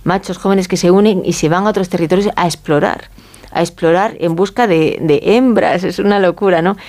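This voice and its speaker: A woman from Spain with a Castilian accent speaks in a soft-edged, mellow voice pitched a little low. She explains informally as an interview guest, in a conversational way, often ending phrases with a short checking question. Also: fairly quick. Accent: Spanish from Spain. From Galicia, Spain. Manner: conversational, often seeks agreement with tag questions.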